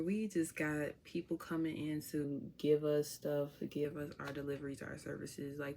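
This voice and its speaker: A woman's voice: sits low, creaky, a little hiss or hum. A young woman from Chicago with a Chicago accent, chatting casually and laid-back to her viewers at home. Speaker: vlogger. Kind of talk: casual chat to camera.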